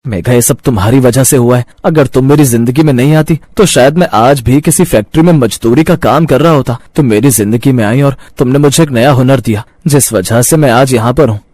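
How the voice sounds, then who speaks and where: A man's voice: mid-range voice, a little fast, few pauses, darkish tone, no room sound, lightly acted. Man playing an earnest young-hearted samosa vendor, dry sound. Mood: happy, grateful. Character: hardworking, caring samosa vendor, a devoted husband. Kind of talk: acted dialogue in a Hindi moral cartoon story.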